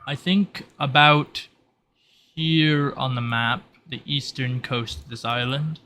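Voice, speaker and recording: A man voicing a polite male shopkeeper in a tabletop roleplay, courteous and measured, with some hesitation. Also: medium pitch, sharp tone, slight background noise. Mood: polite, helpful. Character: polite, well-presented male shopkeeper greeting customers.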